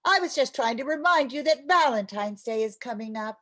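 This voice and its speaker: A woman's voice: high-pitched, lively intonation, fairly quick, fairly bright tone. A woman playing a playful, mushy housewife in an old-time radio comedy, with a coaxing, theatrical delivery. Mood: wounded, romantic.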